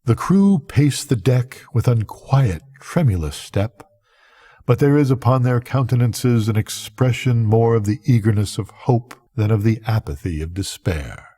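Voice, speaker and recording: An older man, a grandfather, reading a literary tale aloud as a bedtime story with measured, expressive, dramatic delivery and a husky voice. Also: mid-range voice. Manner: measured, expressive, theatrical at climaxes.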